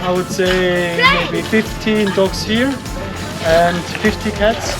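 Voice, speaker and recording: A man with a Mexican accent, a native Spanish speaker, casually explaining things to visitors in halting English. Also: sits high, steady tone. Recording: loud music under handheld camera audio.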